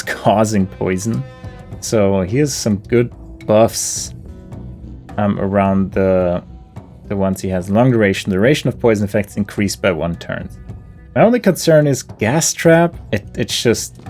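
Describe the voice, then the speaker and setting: A man casually commenting on gameplay as a channel host, reading game text aloud in a relaxed way. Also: lowish voice.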